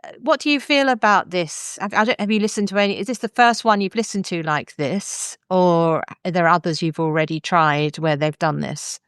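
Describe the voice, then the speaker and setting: Woman, podcast host. Low, clear voice, closely recorded and dry, explaining points in conversation. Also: normal speed, fairly bright tone, no room sound. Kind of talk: thoughtful podcast book discussion.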